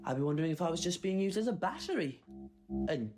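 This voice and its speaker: Sci-fi audio hero male actor: cheeky, defiant time traveller, very animated delivery over loud music. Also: high-pitched, fast. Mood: mocking. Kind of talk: science fiction audio drama acting.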